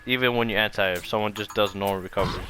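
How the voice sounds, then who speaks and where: A man's voice: fairly low pitch, fairly even intonation, racing, noisy background. A man giving a casual, off-the-cuff gaming tutorial, explaining loosely and circling back over his points. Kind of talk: casual off-the-cuff fighting game tutorial commentary. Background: music behind the voice.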